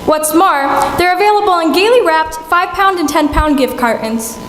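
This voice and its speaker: A woman's voice: very high voice, normal speed, fairly bright tone. A woman playing a cheery, polished saleswoman reading an old-time radio sponsor advert. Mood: promotional. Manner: polished, promotional. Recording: echoey hall, faint noise.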